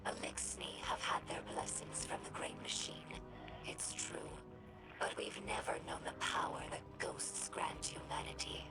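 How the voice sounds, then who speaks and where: A woman playing an earnest young female alien scholar in a video game, with a breathy, whispery voice and measured, formal phrasing. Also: close to an everyday voice, rough recording. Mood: solemn, thoughtful. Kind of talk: video game character dialogue. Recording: music behind the voice.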